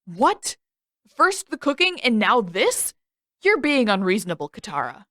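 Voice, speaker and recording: A woman narrator acts a complaining teenage boy in an audio storybook. He is sarcastic and grumbly, arguing with lively swings of pitch. The sound is very dry. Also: a little slow, no room sound. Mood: sarcastic, indignant.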